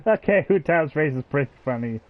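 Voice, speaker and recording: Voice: medium pitch, expressive, a little fast, narrow, tinny audio. A game streamer giving casual live commentary, muttering amused asides.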